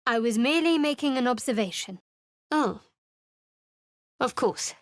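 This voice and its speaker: A woman's voice: a little high, lively intonation, a little slow, no room sound. A woman voicing a guarded, sardonic young cleric adventurer in a video game, speaking with dry, cutting wit and cool reserve. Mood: wry.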